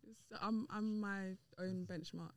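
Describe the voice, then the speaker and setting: A woman's voice: medium pitch, fairly even intonation, measured pace, dry recording. A woman speaking as a podcast guest in a casual discussion, candid, emphatic and opinionated. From Dominican and Nigerian heritage.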